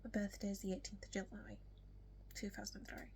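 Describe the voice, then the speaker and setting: A young woman speaks with a strong Yorkshire accent in a casual, whispery get-to-know-me vlog, full of ums and the odd hiccup. Her pitch stays flat and even. A faint noise sits under her voice. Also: fairly quick. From West Yorkshire, England. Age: young adult. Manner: soft, frequently whispery delivery with many ums. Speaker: vlogger.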